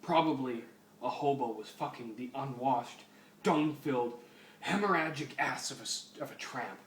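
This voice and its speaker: A man's voice: mid-range voice, moderate pace. A man giving a mock-serious, theatrical dramatic reading of fan fiction. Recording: roomy, distant mic under loud music.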